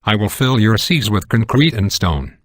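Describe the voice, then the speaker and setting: Game villain: imperious god of destruction, low voice, pompous, menacing, dry recording. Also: male voice, animated, moderate pace, no echo. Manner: pompous, declamatory. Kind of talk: video game villain acting.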